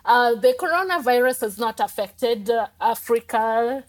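Chatty Kenyan woman guest, higher voice. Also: expressive, a little slow. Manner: chatty, laughs often, many fillers and restarts. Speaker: podcast guest, digital nomad. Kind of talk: casual interview chat.

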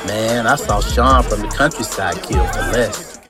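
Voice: mid-range voice, lively intonation, moderate pace, over loud music, natural voice. An actor playing a resentful, hot-tempered farm son in an audio drama, talking defiantly in street-wise slang. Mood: dismissive. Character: resentful, hot-tempered hardworking farm son scheming against his brother.

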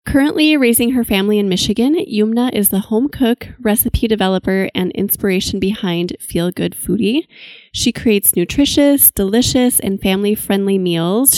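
A woman's voice: mid-range voice, darkish tone, dry recording. A woman hosting a podcast, reading promos and introducing a guest with bubbly enthusiasm. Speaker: podcast host. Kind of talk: podcast ads and interview.

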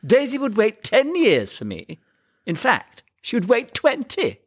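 A man voicing a cocky, flippant, excitable young son in a comic story, with drawling, exaggerated emphasis. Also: sits high, measured pace, dry recording, clean studio sound, voice pushed up, full character voice. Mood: sarcastic.

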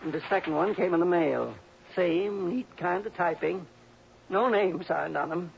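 Creaky, high-pitched cranky old miser. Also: male voice, a little slow, heavily acted. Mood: agitated, fearful. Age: older. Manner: querulous, blustering, folksy grammar. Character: cranky, suspicious old miser fearing murder. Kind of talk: old-time radio drama acting. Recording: muffled, noisy old radio broadcast.

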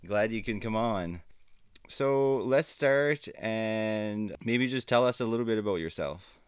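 A man's voice: on the low side, a little slow, clean tone, no echo, studio quality. A man hosting a podcast, asking questions with brief friendly prompts. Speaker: podcast host. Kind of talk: casual interview hosting.

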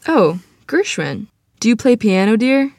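A woman's voice: a little high, very animated, unhurried, creaky, a little mellow, dry recording, studio quality, full character voice. A woman acting a sturdy, proud, church-going Southern teacher in her fifties in a screenplay table read, firm, motherly and matter-of-fact.

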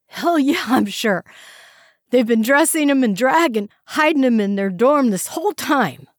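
Woman doing a panicky captive guy, stammering, high pitched, desperate. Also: expressive, slow pace, creaky, fairly bright tone, no room sound, studio quality, full character voice. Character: terrified captive young man blurting secrets.

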